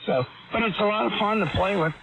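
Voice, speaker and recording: A raspy-voiced man chatting casually as a ham radio operator, rambling and hesitant with many fillers. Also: high-pitched, quick pace. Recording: tinny radio transmission with static.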